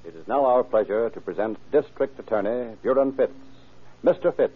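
A man's voice: mid-range voice, moderate pace, husky, phone-quality sound, faint music behind. A man announcing and narrating in formal, emphatic 1930s radio sales style. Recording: band-limited old radio broadcast.

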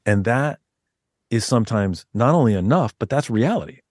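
A man with a deep voice, a guest author talking in a loose, rambling way with many restarts. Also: lively intonation, normal speed, darkish tone, no echo, clean studio sound. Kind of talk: casual interview chat.

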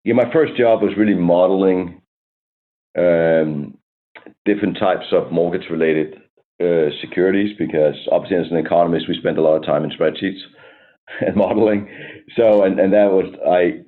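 A man from Denmark, a company executive answering interview questions in a measured, hesitant way with many ums. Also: deep voice. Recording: tinny phone-like line.

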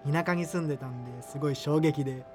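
Man acting earnest male student, radio drama, slightly high pitch, awkward, self-conscious, subculture geek. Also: fast, in a large hall, lightly acted. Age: young adult. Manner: hesitant, self-conscious, apologetic. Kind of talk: radio drama dialogue and inner narration. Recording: music behind the voice. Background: music.

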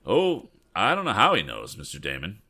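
A man's voice: fairly low pitch, wildly swooping pitch, normal speed, pushed and bright, slightly rough, heavily acted. A man voicing a folksy, apologetic, stubborn mule driver from a storybook, with a hesitant, rambling dialect.

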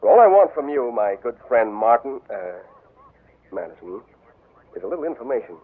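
An actor playing a sly, mocking gambler and blackmailer in a 1940s radio drama. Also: male voice, mid-range voice, sing-song, moderate pace. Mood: scheming. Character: smooth scheming male gambler blackmailer. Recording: tinny old radio broadcast.

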